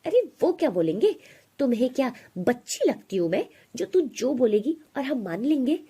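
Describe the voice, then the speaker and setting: Woman acting a harsh scolding stepmother, slightly husky. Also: high-pitched, sing-song, moderate pace, mellow, full character voice. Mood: accusing. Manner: threatening, berating. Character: harsh, scolding, spiteful stepmother, middle-aged village woman.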